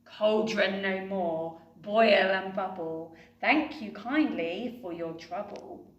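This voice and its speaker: A woman voicing a calm, capable witch mother who chants a spell in a firm, sing-song way. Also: medium pitch, takes it slowly, muffled recording, close to an everyday voice. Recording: roomy, distant mic.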